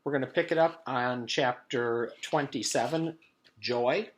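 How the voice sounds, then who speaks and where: A man's voice: medium pitch, a little slow, a little hiss or hum. A man reading a children's book aloud in the style of a teacher's storytime, narrating expressively.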